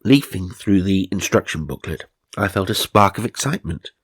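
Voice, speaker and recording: A man's voice: sits low, animated, moderate pace, no echo, clean studio sound. A British man reading silly stories aloud as narrator, with mock-serious, sardonic asides. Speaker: narrator and host.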